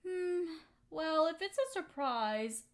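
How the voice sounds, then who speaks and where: A woman acting a hurt young woman softening toward her best friend, in an intimate roleplay audio drama, emotional and halting. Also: extremely high pitch, lively intonation, exaggerated voice. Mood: teasing, warm.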